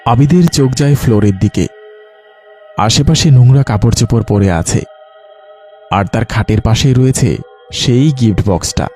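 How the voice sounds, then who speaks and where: A man's voice: medium pitch, normal speed, long pauses, darkish tone, faint music behind. A man narrating a scripted cartoon story.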